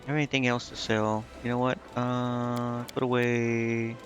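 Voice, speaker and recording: A man gives casual live gameplay commentary in a mid-pitched voice, with music playing faintly behind him. He thinks aloud as if half to himself, offhand and often second-guessing his choices. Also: flat delivery, measured pace. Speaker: game streamer.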